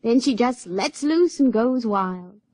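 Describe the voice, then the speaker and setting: A woman's voice: a little high, lively intonation, slow pace, warm tone, dry recording. An actress playing a naive, wilful young backwoods girl with a rural Southern American accent, folksy and guileless, in a radio drama. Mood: earnest. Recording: dull, dry vintage radio recording.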